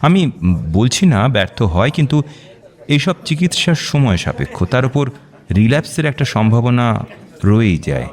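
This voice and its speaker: A man acting in a radio drama as a reassuring doctor who explains things deliberately, with measured pauses. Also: medium pitch, very animated, lightly acted. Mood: cautious. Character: measured, reassuring male doctor counselling a client.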